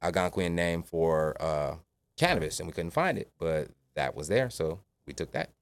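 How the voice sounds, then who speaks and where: A man's voice: extremely low pitch, animated, moderate pace, smooth, no room sound. A man in his forties from Long Island, a cannabis grower talking casually as a podcast guest. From Shinnecock, Long Island. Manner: laid-back, easy laughs, says "you know what I'm saying".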